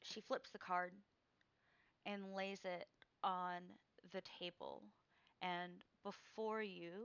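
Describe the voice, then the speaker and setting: A woman game master narrating a tabletop fantasy game in a measured, hushed descriptive way, on a slightly dull microphone. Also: medium pitch, no room sound.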